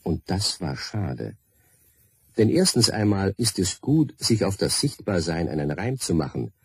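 A man with a husky voice narrating a children's radio play in a playful, wry storytelling manner. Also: deep voice, very animated, measured pace, a little mellow, no room sound, clean studio sound, heavily acted. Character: wry male storybook narrator, friendly, unhurried.

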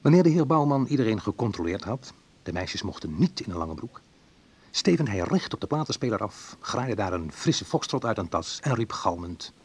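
A man's voice: fairly low pitch, big pitch swings, moderate pace, darkish tone, breathy edge, heavily acted. An actor playing a wistful, reflective man who recalls awkward teenage love in an intimate radio play monologue. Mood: wry recollection.

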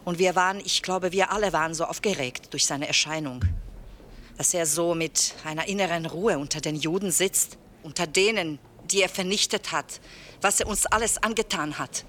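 A woman's voice: lowish voice, normal speed, a bit bright, a little hiss or hum, lightly acted. A woman playing an agitated farm woman in an interview, speaking in broken phrases. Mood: stirred, indignant. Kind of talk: acted street interview.

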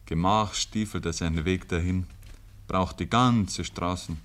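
A man with a Bavarian accent narrating a 1950s radio play in measured, rustic storytelling. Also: low voice, close to an everyday voice. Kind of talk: scripted literary radio narration. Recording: noisy old 1950s radio recording.

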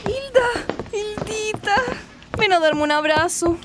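Woman acting as very high-voiced girlish daughter. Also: expressive, slow pace, exaggerated voice. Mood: joyful, emotional. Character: affectionate young daughter returning home, girlish and tender. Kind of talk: radio drama acting. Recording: noisy, with clicks.

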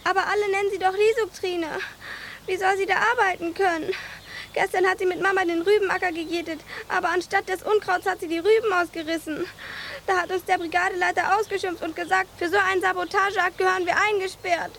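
A woman's voice: extremely high pitch, fairly even intonation, fast talker, a bit bright, a little hiss or hum. A woman playing an earnest, protective young peasant girl with a hot temper in a radio drama. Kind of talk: German radio drama acting.

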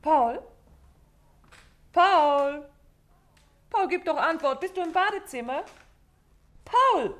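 An anxious detective's wife. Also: female voice, high voice, expressive, slow pace, pauses often, fairly bright tone, heavily acted. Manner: anxious, probing. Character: detective's wife, curious, worried, quick-witted. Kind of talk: 1950s radio play acting.

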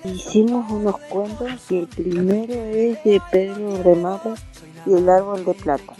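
A woman's voice: mid-range voice, fairly even intonation, unhurried, mellow, faint music behind. A woman presenting a radio programme and narrating its stories.

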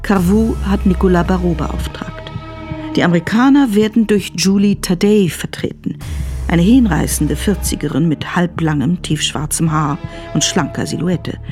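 Mid-pitched thriller narrator over soft music. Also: normal speed. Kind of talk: scripted narration of a radio thriller.

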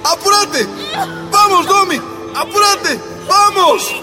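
An actor with a Bolivian accent playing a protective, anxious miner husband in a radio drama. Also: male voice, extremely high pitch, lively intonation, slow, over a noisy crowd, heavily acted. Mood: urgent, alarmed. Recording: music and noise behind.

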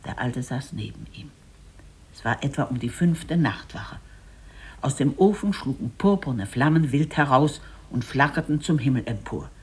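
A storyteller narrating a literary fairy tale in a deliberate, dramatic and expressive way. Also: mid-range voice, slightly rough.

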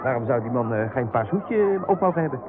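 An actor playing an easygoing, slow-witted sidekick in a radio comedy, hesitant and deadpan with many halting ehs. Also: male voice, medium pitch, music in the background, a crowd in the background, close to an everyday voice. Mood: deadpan, indifferent. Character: laconic, easygoing male sidekick, slow to notice. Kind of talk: radio comedy serial acting. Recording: tinny narrow-band radio recording, noisy outdoor ambience.

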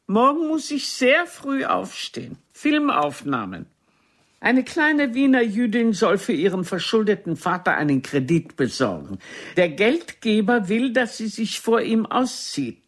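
An imperious, slow-spoken ageing diva. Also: female voice, medium pitch. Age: older. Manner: declamatory, haughty, teasing, drawn-out delivery. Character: imperious, theatrical ageing stage actress. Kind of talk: radio play acting.

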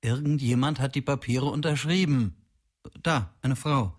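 A man's voice: medium pitch, a little slow, natural voice. An actor playing a matter-of-fact male pathologist in a radio drama, reporting findings plainly. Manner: dry and factual.

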